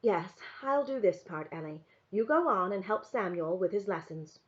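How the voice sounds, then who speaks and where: A woman voicing a quiet, careworn storybook mother who speaks in a measured, gently firm way. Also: mid-range voice, lively intonation, muffled recording, lightly acted. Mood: subdued.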